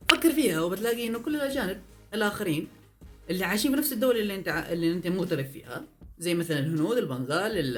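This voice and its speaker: A young man, giving a lively, emphatic vlog monologue full of rhetorical questions. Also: high-pitched. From Yemen. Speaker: vlogger. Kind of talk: fast opinion monologue. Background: faint background music.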